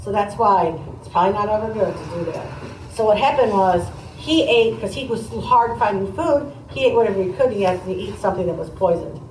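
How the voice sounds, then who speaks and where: A woman's voice: medium pitch, lively intonation, a little fast. Woman, teacher, read-aloud with explanatory asides, prompting questions, roomy echo, distant microphone. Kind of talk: reading aloud to children with conversational asides. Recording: roomy, distant mic, noisy room.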